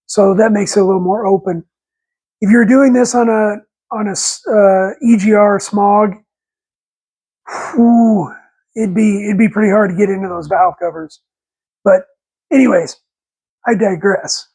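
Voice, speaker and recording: A raspy man in his forties with a head cold, rambling folksy and correcting himself while talking through engine work in a garage on a lapel mic. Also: sits high, fairly even intonation, measured pace, pauses often, darkish tone, poor audio. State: congested with a cold. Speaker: channel host. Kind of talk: casual workshop vlog. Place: home garage workshop, indoors.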